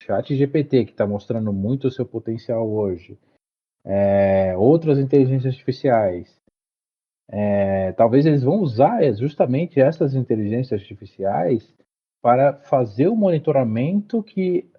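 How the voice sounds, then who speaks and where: A man co-hosting a podcast, thinking aloud and often restarting his sentences. His voice is husky and mid-pitched. The recording is dull and lo-fi. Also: animated, a little slow. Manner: hesitant, many restarts and fillers. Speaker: podcast co-host. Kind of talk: casual podcast chat.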